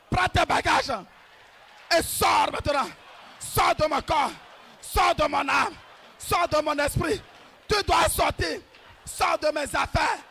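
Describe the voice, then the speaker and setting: A man leads a deliverance prayer at full shout, his voice pitched very high and slightly gravelly. He speaks slowly, punching out short, rhythmic commands one after another. A faint crowd murmurs behind him. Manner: shouts short repeated declarations. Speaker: prayer leader.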